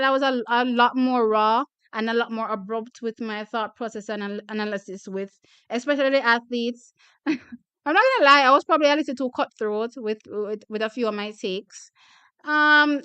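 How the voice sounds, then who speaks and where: Chatty young woman with a smooth voice. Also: fairly high pitch, a bit bright, no echo. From Jamaica. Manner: chatty, laughing often, repeats herself. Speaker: track and field channel host. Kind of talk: casual chat reading viewer comments.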